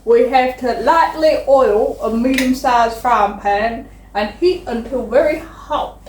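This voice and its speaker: A woman's voice: on the high side, takes it slowly, darkish tone, distant mic, slight background noise. A young woman playing a male outlaw cowboy in a jokey home cooking video, giggly and drawling "y'all" in her own everyday voice. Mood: playful. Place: home kitchen. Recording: roomy kitchen from off mic. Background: dishes and cutlery clatter.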